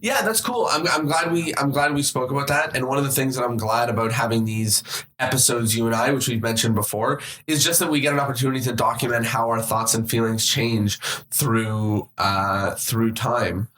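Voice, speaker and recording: A man's voice: medium pitch, fairly even intonation, moderate pace, fairly bright tone. A man in his twenties talking as a podcast host, informal, rambling and reflective in casual discussion. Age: 20s. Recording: roomy, echoey sound.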